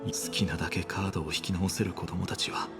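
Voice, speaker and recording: A man voicing a solemn, resigned young hero in a dramatic game scene, softly and with breathy phrase endings. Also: low-pitched, little pitch movement, rapid-fire. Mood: solemn, resolute. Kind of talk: dramatic Japanese game voice acting. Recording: game music under the dialogue.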